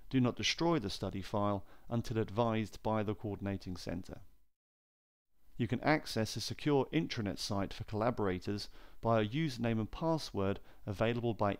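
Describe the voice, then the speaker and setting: Low, gravelly male instructional narrator. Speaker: training film narrator.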